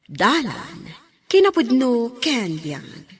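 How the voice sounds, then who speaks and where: A woman's voice: fairly high pitch, wildly swooping pitch, extremely slow, husky. A woman hosting a radio drama program, reading scripted devotional narration and credits. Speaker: radio drama host and announcer.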